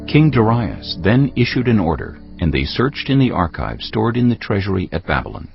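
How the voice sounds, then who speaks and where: Low gravelly guy narrating bible story, solemn and dramatic, husky, slightly dull recording w music underneath. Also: big pitch swings, normal speed. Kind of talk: scripted narration of dramatised scripture. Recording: slightly dull audio over faint music. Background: faint music.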